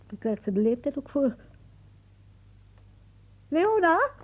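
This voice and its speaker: Elderly aunt, sardonic, rambling, suggestive, higher-pitched, theatrical radio play delivery, narrow-band vintage sound. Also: female voice, very animated, slow pace, full character voice. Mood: muttering, watchful, then calling, scolding. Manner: muttering musings, then calling out loudly.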